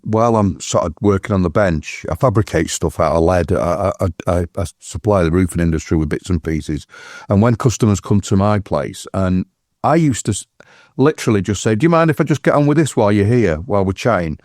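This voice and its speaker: A man, a podcast guest who talks in a rambling, halting way full of restarts. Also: deep voice, a little mellow, no room sound, clean studio sound. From England. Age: 60s. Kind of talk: casual chat.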